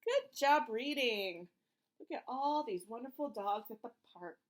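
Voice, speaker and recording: A woman reads a picture book to small children in a high voice that swings up and down. She takes her time, stretching out short phrases with sing-song emphasis. She puts questions to her young listeners and praises them like a preschool teacher. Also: animated, a little slow. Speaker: children's read-aloud host, teacher-like.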